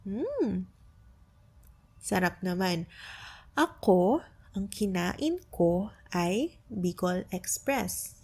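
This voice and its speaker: A woman acting as an affectionate young Filipino girlfriend in an ASMR roleplay. She speaks slowly at a medium pitch with a Filipino accent, mixing teasing tenderness with patient language tutoring. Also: sing-song, a little mellow, breathy edge, heavily acted. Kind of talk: soft ASMR roleplay, Tagalog lesson mixed with English.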